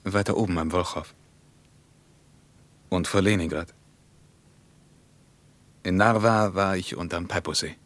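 A middle-aged German writer who was once a soldier. The voice is deep and very dry in the recording. He is sober and wary, speaking in terse, factual phrases. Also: a little slow, pauses often, a bit bright, dry recording. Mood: reserved. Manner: terse, matter-of-fact. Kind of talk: German radio play dialogue.

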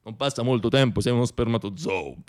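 A man in his thirties performing a comic theatrical monologue, shifting between hushed asides and declamation. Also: mid-range voice, fairly quick. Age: 30s. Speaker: stage monologist.

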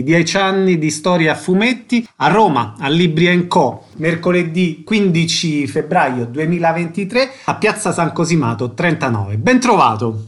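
A man hosting on radio, reading announcements and putting short interview questions. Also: on the high side.

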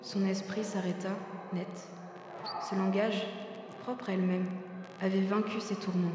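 A young adult woman giving a solemn, even literary reading of poetic prose. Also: medium pitch, a little slow. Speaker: student author reading her text. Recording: very echoey hall, audible hiss.

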